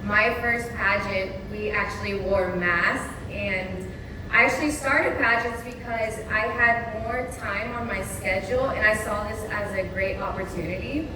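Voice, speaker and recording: A woman's voice: medium pitch, unhurried. Young woman, candid and poised, echoey hall, background noise. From Texas. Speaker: pageant titleholder. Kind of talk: press conference answers. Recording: echoey hall, distant mic, noisy.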